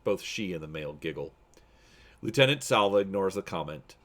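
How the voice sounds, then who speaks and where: A man's voice: low voice, animated, a little fast, a bit bright. A man reading an adventure gamebook aloud as narrator and host, in a dramatic storytelling style.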